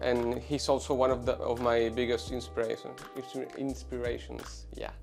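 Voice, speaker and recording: A man's voice: medium pitch, flat delivery, moderate pace, creaky, music in the background. A man in his twenties from Venezuela, a tattoo artist answering interview questions casually, with many fillers and restarts. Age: 20s.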